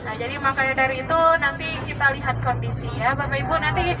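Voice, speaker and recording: High-voiced young woman guiding a bus tour. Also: steady tone, fast. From Palembang, Indonesia. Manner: chatty, keeps quizzing and checking in with the group. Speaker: tour guide. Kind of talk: guided tour talk to a pilgrim group. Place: inside a moving tour bus. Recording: distant voice over bus and crowd noise. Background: passengers chatting and road noise.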